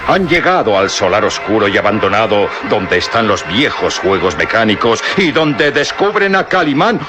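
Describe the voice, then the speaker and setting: A man narrating and announcing a vintage radio drama serial in a theatrical, booming style. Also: sing-song, normal speed. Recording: old radio recording with music bed.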